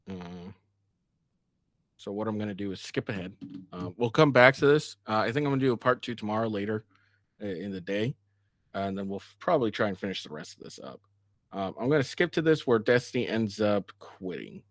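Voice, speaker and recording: A man's voice: lowish voice, lively intonation, fairly quick, dry recording, clean studio sound. A man hosting a commentary video, casually mocking a debate clip with plenty of filler words. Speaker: commentary channel host.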